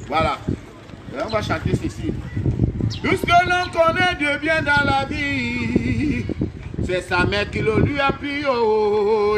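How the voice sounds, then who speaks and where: A man hosting a charity appeal as a singer, moving between call-and-response singing and casual, directive banter in animated, drawn-out phrases. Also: sits high, heavy background noise.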